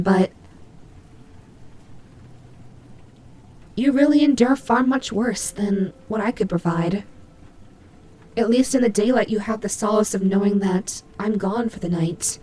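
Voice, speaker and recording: A woman's voice: mid-range voice, darkish tone, slight background noise, close to an everyday voice. A woman playing a prickly but caring female nightmare demon rabbit in an audio roleplay, speaking to the listener with hesitant, teasing, flustered delivery. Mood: soft, pitying.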